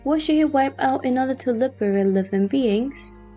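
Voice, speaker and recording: Earnest questioning bodhisattva , female performer, high-pitched, formal scripture drama delivery over faint music. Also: moderate pace, dark tone, lightly acted. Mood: earnest inquiry. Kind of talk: audio drama sutra recitation.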